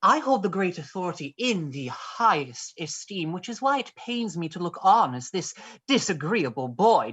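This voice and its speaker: Woman playing a husky, sickly, scheming young man. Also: medium pitch, fairly bright tone. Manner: oily, insinuating, falsely courteous. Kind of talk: dramatized stage play reading.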